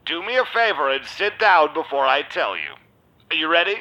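A man's voice: a little high, very animated, moderate pace, pushed and bright, dull audio. An actor playing a shady, smug vampire businessman who owns a club, with suave, theatrical delivery in an audio drama. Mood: falsely sympathetic. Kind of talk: audio drama narration and dialogue.

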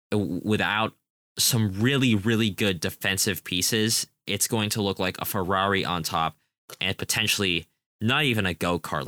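A young man with a very low pitch and a bright, forceful tone leads a casual soccer preview. He talks enthusiastically, rambling and restarting his sentences. The sound is dry and close. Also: dry recording. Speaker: podcast co-host.

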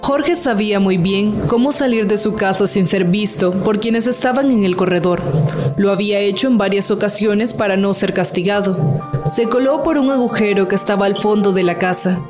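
A woman's voice: medium pitch, normal speed, music in the background. A woman delivering scripted narration as the storyteller of a radio drama.

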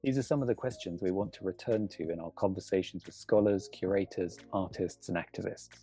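A man co-hosting a podcast, reading a measured scripted introduction. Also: low-pitched, warm tone, faint music behind. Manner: measured, read-aloud delivery. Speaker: podcast co-host, associate professor.

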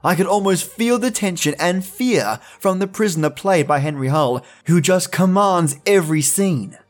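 A man's voice: high voice, moderate pace, faint music behind. A man hosting a podcast, talking to his listeners in conversational commentary. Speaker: podcast host.

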